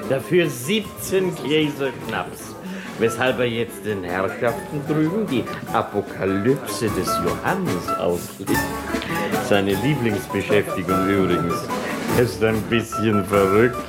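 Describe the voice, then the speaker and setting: An actor playing a self-pitying, shabby failed medical student in a radio drama, rambling between bitterness and boasting. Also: male voice, mid-range voice, lightly acted. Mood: wry, mocking. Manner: rambling, rueful. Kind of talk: German radio drama acting.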